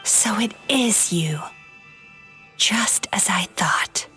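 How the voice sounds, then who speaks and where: A woman voicing a composed, frank dream-eater spirit who works as a therapist in a video game, calm and clinical. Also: medium pitch, very slow, loud music behind the voice. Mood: solemn. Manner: calm, clinical, matter-of-fact. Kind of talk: video game drama acting.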